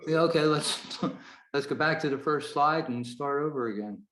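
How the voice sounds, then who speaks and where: A man's voice: a little high, normal speed, fairly bright tone. A man presenting a prepared talk to a club over a video call, in a roomy-sounding space.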